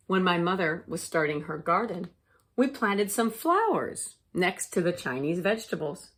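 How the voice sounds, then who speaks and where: A woman teacher reading a children's storybook aloud with deliberate, expressive phrasing for young listeners. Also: mid-range voice, husky.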